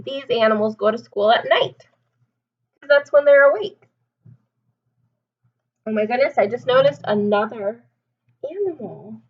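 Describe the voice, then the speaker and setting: A woman's voice: fairly high pitch, husky, highs cut off. A woman teacher reading a storybook aloud to young children, expressively, with simple explanations and questions.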